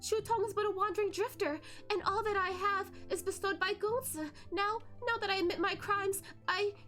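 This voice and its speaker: A woman's voice: very high voice, steady tone, normal speed, a bit bright, soft background music, voice pushed up. A woman voicing a delicate, tearful young lady in a dramatic story, pleading humbly. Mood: humble weeping. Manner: weeping, trembling, humble pleading.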